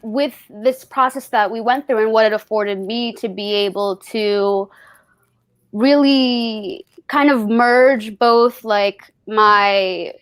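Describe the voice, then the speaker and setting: A woman with a fairly high voice talks as a panelist in a reflective conversation. She is thoughtful and feels her way toward each phrase. The sound is dry and close. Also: slow pace, fairly bright tone, smooth, no echo. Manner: thoughtful, searching for words. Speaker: actor on a festival panel.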